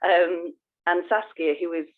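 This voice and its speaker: An effusive podcast co-host in casual conversation, with a mid-pitched voice. The talk comes as thinking aloud, heard through thin, narrow-band call audio. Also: steady tone, measured pace.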